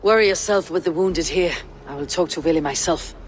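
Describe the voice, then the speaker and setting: An actor playing a steadfast Viking warrior in a video game drama, frank and assured with a dry, wry manner. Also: male voice, on the high side, a little fast, a little hiss or hum, natural voice. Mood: concerned.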